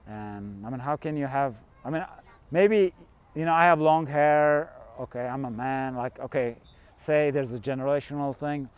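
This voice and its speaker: A man's voice: mid-range voice, moderate pace, few pauses, clear, resonant tone. A middle-aged man from Switzerland, rambling candidly through a casual vlog monologue with the odd chuckle. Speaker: fasting coach and vlogger.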